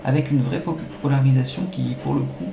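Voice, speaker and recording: A man giving measured, analytical answers as an academic expert being interviewed, with some halting phrasing. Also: medium pitch, fairly even intonation, over music. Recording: echoey room, faint-to-clear background noise.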